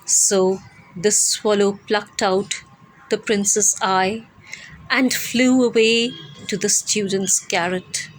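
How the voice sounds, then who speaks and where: A woman narrating a story as an amateur dramatised reading, deliberate and expressive with long pauses. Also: medium pitch, poor audio.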